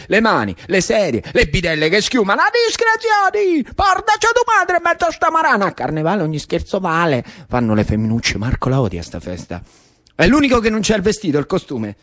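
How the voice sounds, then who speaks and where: A man with a Roman Italian accent performing a colloquial theatrical monologue for radio as an animated storyteller. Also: mid-range voice, dry recording, clean studio sound. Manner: animated, colloquial, shifting between narration and characters. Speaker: actor and storyteller.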